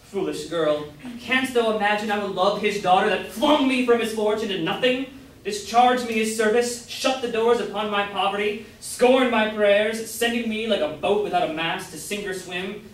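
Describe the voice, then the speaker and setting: A man's voice: sits high, moderate pace, lightly acted. A young man, a student, playing an ardent, brooding lover in verse in an amateur staged reading. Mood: menacing. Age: young adult. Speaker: student actor. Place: college room, staged reading. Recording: very echoey room, far off, faint noise.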